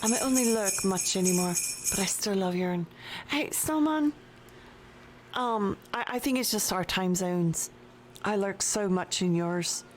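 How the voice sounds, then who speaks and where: A woman streaming a game at her home desk, chatting casually and slowly with her viewers and her pet. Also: mid-range voice, noisy background. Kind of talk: casual live-stream chat while playing. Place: home desk with a cat nearby.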